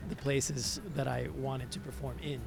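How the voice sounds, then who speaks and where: A man from Venezuela, a native Spanish speaker, telling his story as an interview guest in a reflective, halting, conversational way, repeating words as he searches. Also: mid-range voice, little pitch movement, creaky. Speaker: interview guest, musician and hospitality entrepreneur. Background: music.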